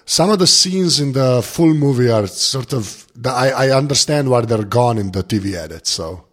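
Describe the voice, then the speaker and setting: A tired man with a gravelly voice chatting casually as a podcast guest, rambling in an informal, self-deprecating way. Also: mid-range voice, lively intonation, normal speed, a bit bright. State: tired, barely awake. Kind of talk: casual chat.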